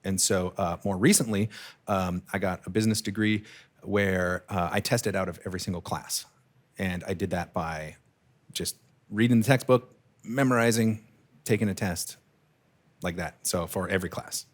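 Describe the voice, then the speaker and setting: Halting low-voiced man, lots of fillers. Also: fairly even intonation. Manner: halting, many fillers and restarts. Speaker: startup founder. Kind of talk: casual interview talk.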